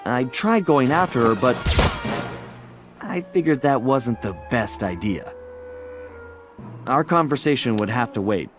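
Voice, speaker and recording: An adventurous, easygoing thief voiced by a man tells his story in a video game cutscene with music playing behind him. His delivery is wry and expressive, rising and falling widely and pausing for dramatic effect. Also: medium pitch, close to an everyday voice. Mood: rueful. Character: smooth-talking male cartoon thief, adventurous, easygoing gang leader. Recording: background music under the voice.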